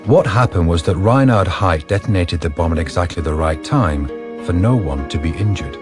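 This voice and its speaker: A man giving deadpan, deliberate scripted narration for a radio drama. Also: low voice, music in the background.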